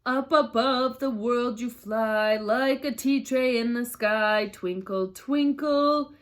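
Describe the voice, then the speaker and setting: A woman's voice: on the high side, takes it slowly, voice pushed up. A woman voicing an eccentric, mournful male hatter in a children's storybook, with a theatrical and emphatic delivery. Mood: singsong. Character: mad, mournful male hatter, eccentric tea-party host.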